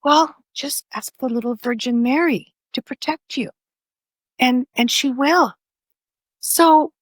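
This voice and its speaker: Older woman, native Greek speaker, slow, rambling, lots of fillers, dry sound. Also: a little high, fairly bright tone, dry recording. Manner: rambling, many "you know" fillers. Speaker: podcast guest, author. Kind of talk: conversational interview.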